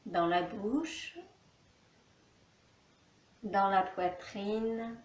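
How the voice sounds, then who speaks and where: A woman teaching yoga nidra, giving calm, soothing guided relaxation instructions with long pauses in an echoey room. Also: mid-range voice.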